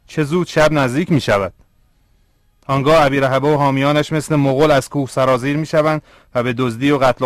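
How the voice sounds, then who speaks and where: A man's voice: medium pitch, no room sound, natural voice. Casting: male actor as an anxious young cartoon traveler, devout, earnest and dramatic, mid-range voice. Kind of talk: dubbed cartoon dialogue.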